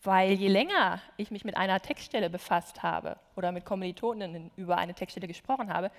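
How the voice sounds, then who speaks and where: A woman's voice: medium pitch, brisk. A woman shares her experience with candid enthusiasm and a touch of self-deprecation. She speaks from a university auditorium stage, and her mid-range voice carries a long hall reverb. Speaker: student translator. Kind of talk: panel conversation.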